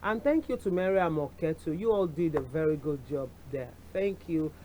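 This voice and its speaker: A woman's voice: sits low, lively intonation, moderate pace, warm tone, a little hiss or hum, poor audio. A middle-aged woman with a Nigerian accent hosting a television talk show, speaking in a chatty way with asides and dropping in Yoruba phrases. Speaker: TV show host. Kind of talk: casual chat to camera.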